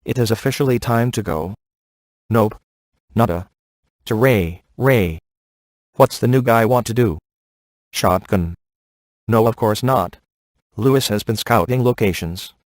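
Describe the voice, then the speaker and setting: A man acting a cocky, laid-back ghost hunter in a video game, sardonic and offhand with deadpan sarcasm. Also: fairly low pitch, measured pace, long pauses, no echo, close to an everyday voice.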